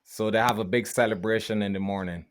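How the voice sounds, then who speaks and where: A man, co-hosting a podcast over a video call from home and explaining slowly, repeating words as he thinks. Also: fairly low pitch, steady tone. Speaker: podcast co-host. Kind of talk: casual chat.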